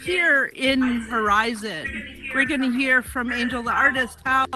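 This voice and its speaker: A woman's voice: on the high side, rough recording. A woman hosting an event, introducing speakers in an encouraging way. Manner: warm, encouraging, unhurried. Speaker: event host.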